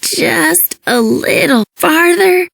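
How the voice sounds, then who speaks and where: A woman voicing a small, anxious and devoted handmade toy in a children's read-along, earnest and excitable. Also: very high voice, very slow, vocal fry, no room sound, voice pushed up, full character voice. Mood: straining, eager.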